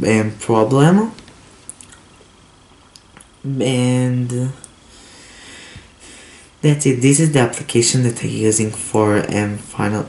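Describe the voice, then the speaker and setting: A teenage boy from Israel, a native Hebrew speaker, talking in halting English with a Hebrew accent and many fillers while showing his laptop. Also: medium pitch, a little mellow. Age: teens. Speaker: tech vlogger. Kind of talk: casual unboxing and review vlog. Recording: roomy echo, faint hiss.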